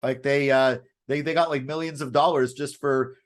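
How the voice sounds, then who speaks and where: A man co-hosting a casual current affairs chat, often repeating and rephrasing himself in a halting way. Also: medium pitch, steady tone. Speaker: podcast co-host and writer.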